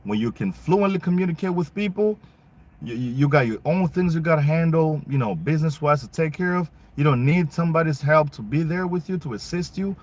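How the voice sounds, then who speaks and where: A man's voice: on the high side, animated, a little fast, darkish tone. A young man from Congo giving a casual, emphatic opinion monologue full of rhetorical questions, his not English. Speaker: vlogger.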